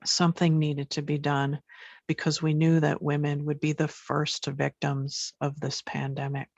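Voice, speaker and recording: A woman from Haiti, a women's rights advocate, reading a prepared statement in an even, measured way. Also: low voice, vocal fry, no echo. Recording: dull dry recording.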